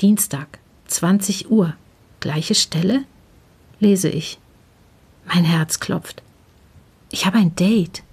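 A woman's voice: lowish voice, takes it slowly, long pauses, darkish tone, husky. A woman reading a scripted first-person short story. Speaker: story narrator.

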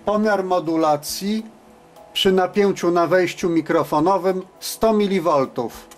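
A man giving a scripted technical narration as a reviewer, in a measured, matter-of-fact way. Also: sits high. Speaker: reviewer of CB radios.

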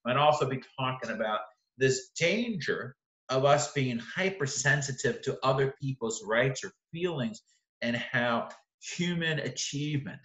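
A man's voice: medium pitch, fairly bright tone. Man teaching a lesson in an echoey room. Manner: conversational, repeats and restarts, frequent "right?". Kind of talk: recorded literature lecture over slides.

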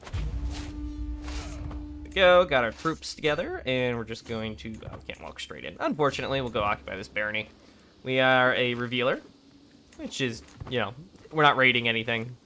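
A young man with a raspy voice from being sick, casually commenting on a strategy game, thinking aloud and clearing his throat often. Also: mid-range voice, brisk, pushed and bright, soft background music. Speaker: gameplay commentator. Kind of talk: casual let's play commentary.

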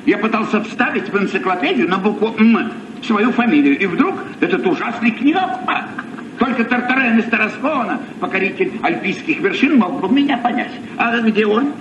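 An actor playing a vain, boastful elderly adventurer in an old radio play, pompous and plaintive. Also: male voice, high voice, animated, normal speed, far from the mic. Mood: pompous, aggrieved. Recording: echoey, muffled, noisy old radio recording.

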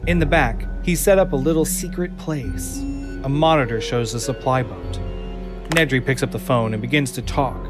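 A man's voice: medium pitch, moderate pace, music in the background. Casting: male narrator for audio drama, mid-range voice, scripted scene description, prominent score underneath.

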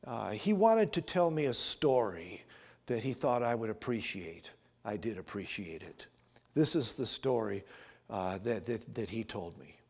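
A man in his sixties with an American accent, preaching and telling stories as a pastor, earnest and given to emphatic pauses, in a church. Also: on the low side, big pitch swings, vocal fry, slightly rough. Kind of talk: sermon with storytelling. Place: church sanctuary, at the altar. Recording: reverberant church hall.

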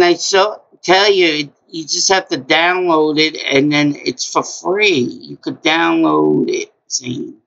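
A middle-aged man with a high voice hosts a trivia stream from his home, drawling slowly with lots of gravelly fry. He repeats himself in a chatty way. The webcam audio sounds dull and lo-fi. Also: a bit bright. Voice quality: high-pitched voice. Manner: slow, repetitive, chatty. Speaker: trivia game host. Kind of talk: live-stream trivia hosting. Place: small home room, indoors.

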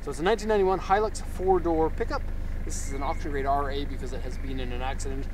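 A man's voice: a little high, steady tone, fast. Upbeat man on an outdoor walkaround. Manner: upbeat and enthusiastic, with laughs. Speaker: car exporter reviewing a vehicle. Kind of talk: casual walkaround commentary. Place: outdoors in a park by a parked truck. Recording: outdoor noise behind voice.

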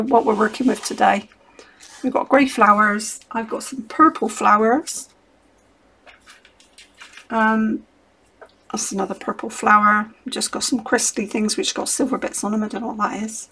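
A middle-aged woman with a slightly croaky voice chats her way through a craft session at home, thinking aloud and filling gaps with ums. Her voice sits a touch high for a woman. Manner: chatty, rambling, thinks aloud with many ums. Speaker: craft vlogger. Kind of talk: casual crafting chat.

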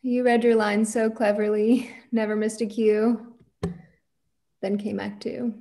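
A woman's voice: a little high, little pitch movement, a little slow, creaky, warm tone. A young woman playing an earnest, defiant girl who dreams of being a cowboy, in a script table read. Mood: wistful, theatrical. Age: young adult. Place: room at home, on video call.

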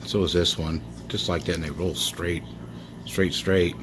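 A man with a very low voice talks through his toy car finds at a slow, relaxed pace. He rambles, chuckles often and fills gaps with ums. A faint hum of the room sits under his handheld phone recording. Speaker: toy car collector vlogger. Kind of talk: casual show-and-tell vlog. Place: indoors, filming toys laid on a bedsheet. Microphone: handheld phone camera.

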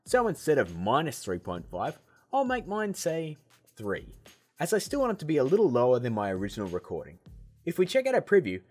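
A man hosting a software tutorial, explaining steps in a casual, upbeat way. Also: fairly high pitch, wildly swooping pitch, fairly quick, husky, faint music behind. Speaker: video tutorial host.

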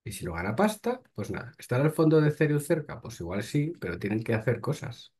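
A man's voice: medium pitch, big pitch swings, fast talker, a little mellow, husky. A man, hosting and reading crypto news aloud, then breaking off with short blunt remarks. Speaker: host.

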